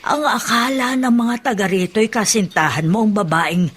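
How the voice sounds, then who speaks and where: A woman's voice: medium pitch, moderate pace, breathy edge, lightly acted. An actress playing a kindly, hospitable old grandmother in a Filipino radio drama, with a Filipino accent. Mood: reassuring, candid. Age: older. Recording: faint noise behind the voice.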